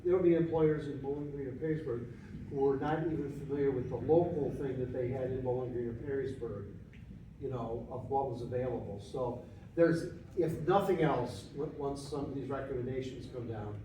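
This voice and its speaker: A man of ordinary pitch presenting at a panel, talking conversationally with many restarts. He is heard from some distance in a very echoey meeting room, with faint noise behind him. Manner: conversational, with frequent restarts and fillers. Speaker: panelist presenting study findings.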